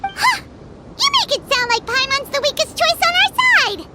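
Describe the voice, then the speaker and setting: Squeaky little fairy sidekick, very high. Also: female voice, very animated, moderate pace, sharp tone, full character voice. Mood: indignant, teasing. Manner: refers to self in third person, exclaiming. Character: squeaky little floating fairy companion, childlike, sassy and fretful. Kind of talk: video game voice acting. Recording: heavy background noise from game footage.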